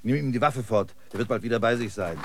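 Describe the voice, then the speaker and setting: A man's voice: medium pitch, fast, close to an everyday voice. An actor in a German radio drama playing a cynical, seasoned male guide who talks in a laconic, knowing way. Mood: sardonic, unruffled. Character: cynical, seasoned male guide, slingshot-proud street survivor. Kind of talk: German radio play acting.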